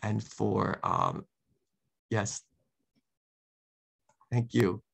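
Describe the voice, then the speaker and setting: Halting male academic with a creaky voice. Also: fairly low pitch, wildly swooping pitch. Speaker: academic presenter. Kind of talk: slide lecture over video call. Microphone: video call.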